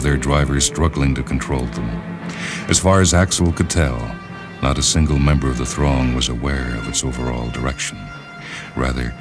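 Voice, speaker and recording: An extremely deep-voiced man reads literary fiction as a radio narrator. His delivery is measured and solemn, with orchestral music clearly playing behind him.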